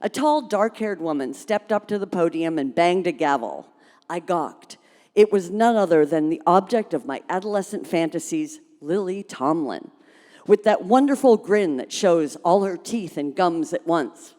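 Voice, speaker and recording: A woman reading a humorous story aloud at a live event, expressive and dramatic, in a reverberant hall. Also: lowish voice, normal speed. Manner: expressive, acts out dialogue.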